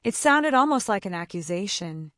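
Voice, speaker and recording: Woman narrating a romance, lively pitch. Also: moderate pace, no echo, clean studio sound. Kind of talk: scripted narration of a romance story.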